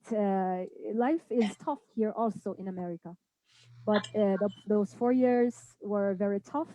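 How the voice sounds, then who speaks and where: Young woman guest from Saudi Arabia, hesitant with fillers, warm mellow voice, dry webcam sound. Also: mid-range voice, no room sound, poor audio. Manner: hesitant, many fillers, says she is nervous. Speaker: guest dancer. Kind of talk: video call interview. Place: indoor home room. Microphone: video call webcam.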